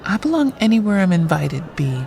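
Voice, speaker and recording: A woman playing a wry, blunt writer in her late thirties with a gravelly voice in an audio drama. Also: lowish voice, very animated, moderate pace, warm tone, a faint crowd. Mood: wry, pressured. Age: late 30s. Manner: dry, blunt, quippy.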